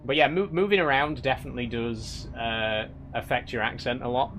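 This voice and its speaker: A man's voice: mid-range voice, fairly quick, background noise. A young man from Yorkshire with a Yorkshire accent, chatting casually on a live stream as he reads out viewer comments, with many restarts. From Yorkshire, England. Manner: chatty, with frequent restarts and light wry teasing.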